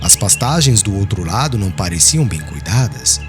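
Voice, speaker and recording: A man's voice: low-pitched, moderate pace. A man with a Brazilian accent reading dramatic, solemn audiobook narration, with music playing behind him. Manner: solemn, expressive.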